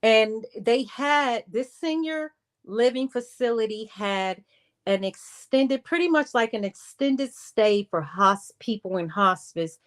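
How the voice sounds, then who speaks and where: A woman telling a personal story in a casual livestream style, re-enacting conversations and repeating phrases for emphasis in a halting way. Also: on the high side. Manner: animated re-enacting of conversations with quoted dialogue.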